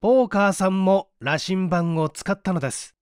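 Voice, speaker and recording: A man's voice: sits high, normal speed, a little mellow, clean tone, dry recording, clean studio sound, close to an everyday voice. A man reading the part of an assured detective scientist in an audiobook, patiently explaining his deductions in an articulate, didactic way. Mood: patient, instructive.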